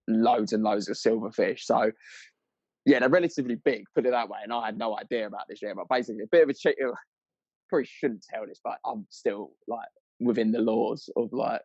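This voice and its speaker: A man's voice: lowish voice, a little mellow, dry recording, highs cut off. A British man telling anecdotes as a podcast guest, chatty, rambling and full of casual slang.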